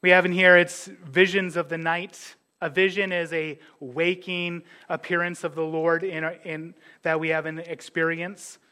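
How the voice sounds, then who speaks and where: A man's voice: on the high side, little pitch movement, unhurried, few pauses, pushed and bright, clean tone. A man preaching a sermon in a conversational way, mixing scripture with jokes and asides. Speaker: pastor.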